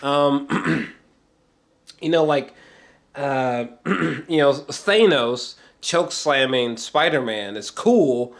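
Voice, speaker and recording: Man, medium pitch, casual movie commentary, slangy and profane, repeats himself, clears throat often. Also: animated, very slow. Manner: rambling, frequent throat clearing and repeated words. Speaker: film reviewer.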